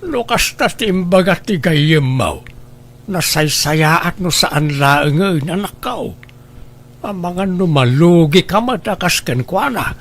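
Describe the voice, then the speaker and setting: A man's voice: sits high, animated, slow pace, fairly bright tone, exaggerated voice. Man acting a blunt, cautious village family man. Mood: cautioning. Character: plain-spoken, disapproving village husband and cautious father. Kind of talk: scripted audio drama dialogue.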